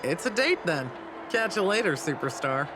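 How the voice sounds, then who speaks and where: A woman's voice: lowish voice, big pitch swings, normal speed, background noise. A woman playing a self-assured, teasing, perceptive lady in a video game, with a casual, knowing manner. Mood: teasing, warm. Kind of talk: video game dub acting. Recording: loud music under the voice.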